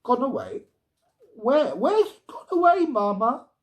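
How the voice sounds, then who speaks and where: A man voicing an excitable, tearful little girl from a storybook, pleading and shrill. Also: unhurried, full character voice. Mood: anxious. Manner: childlike, pleading, fierce when upset. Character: tearful, excitable little girl who adores her robot. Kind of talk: storybook character reading.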